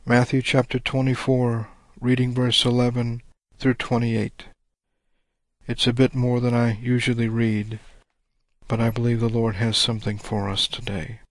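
A man preaching a sermon, reading scripture slowly and deliberately, his voice a little rough and congested. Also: mid-range voice, monotone, dry recording. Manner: deliberate, measured reading of old-style scripture.

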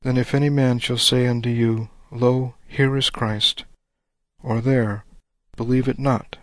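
A man preaching a sermon, reading scripture slowly and deliberately, his voice a little rough and congested. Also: medium pitch, level tone, no room sound. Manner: deliberate, measured reading of old-style scripture.

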